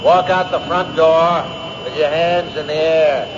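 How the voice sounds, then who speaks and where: An actor playing a stern police officer calling out deliberate warnings in a 1970s radio drama. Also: male voice, on the high side, fairly even intonation, natural voice. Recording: muffled old radio broadcast with hiss.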